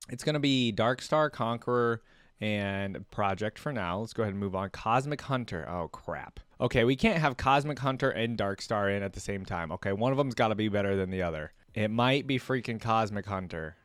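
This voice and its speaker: A man's voice: lowish voice, normal speed, clean tone, no room sound. A man giving casual reaction commentary, thinking aloud in informal, slangy language. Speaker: reaction video creator.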